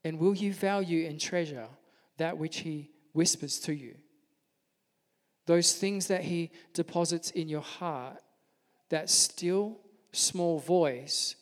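Male pastor, soft-spoken and earnest, slightly raised pitch; sermon in a reverberant hall. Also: takes it slowly. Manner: earnest, gentle preaching.

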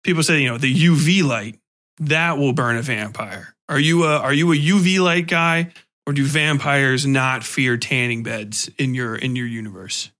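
A man hosting a casual interview, talking in an animated, freely swearing way and acting out little sound effects. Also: medium pitch, moderate pace. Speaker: podcast host interviewing a guest.

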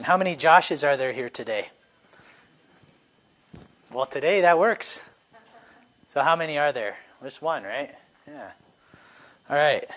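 A middle-aged man teaching a Sunday school class into a microphone, talking conversationally with fillers and self-corrections. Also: a little high. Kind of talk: church class lesson. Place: church classroom. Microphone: handheld or stand microphone.

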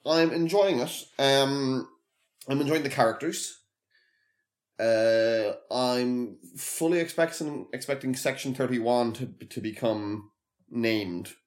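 A man co-hosting a podcast in casual chat, measured and a bit hesitant, with a dry tone. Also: medium pitch. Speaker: podcast co-host.

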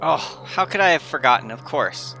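A male tabletop player with a sharp, forward voice edged with fry. He talks in character off the cuff, halting and flustered. Faint music sits behind him. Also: fairly high pitch, lively intonation, low-quality recording. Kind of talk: improvised in-character game talk.

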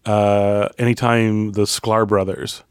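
A man's voice: lowish voice, flat delivery, takes it slowly, clear, resonant tone, dry recording. A man co-hosting a casual podcast, nasal-voiced, teasing dryly and explaining his points at length. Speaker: podcast co-host. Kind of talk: casual chat.

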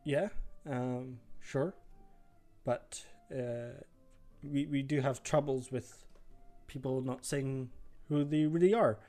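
A worn-out older guard captain who stumbles over his words and keeps asking questions with polite suspicion, as a man puts him on. The voice sits a little higher than the speaker's usual tone, with faint music underneath. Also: fairly even intonation, takes it slowly, dark tone. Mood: defensive. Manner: hesitant, stammering, polite but suspicious. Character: tired, disheveled older male guard captain.

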